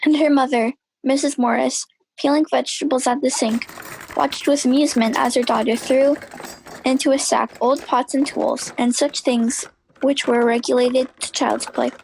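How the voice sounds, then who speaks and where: Female drama narrator. High voice with creak, faint noise and birdsong behind. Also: steady tone, normal speed, a little mellow, poor audio. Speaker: story narrator.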